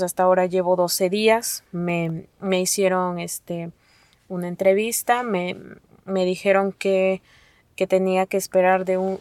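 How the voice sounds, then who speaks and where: Hesitant soft-spoken woman, flat voice. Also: lowish voice, no echo. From Mexico. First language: Nahuatl. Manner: halting, many fillers and restarts. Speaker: asylum seeker. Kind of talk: testimony to an interviewer.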